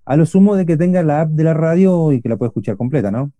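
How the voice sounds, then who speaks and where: Dark-voiced Argentine man, rambling. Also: fairly high pitch, animated, fast, no echo, highs cut off. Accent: Argentine Spanish. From Argentina, Buenos Aires. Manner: rambling, many restarts and fillers. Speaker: radio show host. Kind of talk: casual chat.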